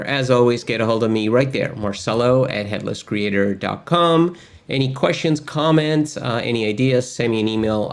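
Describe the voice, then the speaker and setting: Chatty male course host. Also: mid-range voice. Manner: chatty, friendly, many fillers. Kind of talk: casual livestream lesson intro.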